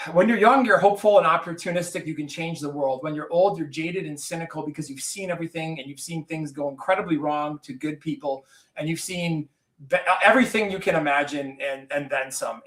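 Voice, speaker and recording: A man in his forties speaks in a candid podcast conversation, earnest and rambling, often restarting his sentences. His voice sits fairly high for a man. It carries a roomy echo, as if he is set back from the microphone. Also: a bit bright. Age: 40s. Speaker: business owner. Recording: roomy echo, distant from mic.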